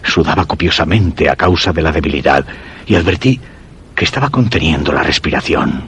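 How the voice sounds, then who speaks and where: Deep-voiced, ailing, fearful husband. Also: male voice, lively intonation, a bit bright, slightly rough, exaggerated voice. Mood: solemn, uneasy. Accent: Castilian Spanish. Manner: measured, literary, solemn storytelling. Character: weak, ailing, fearful husband narrating his suspense tale. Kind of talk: radio drama narration and dialogue. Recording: voice over background music.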